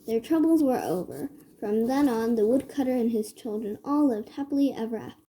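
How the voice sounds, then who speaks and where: A woman narrating a children's story from a script in a measured read-aloud manner. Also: on the high side, expressive, warm tone, slight background noise, low-quality recording.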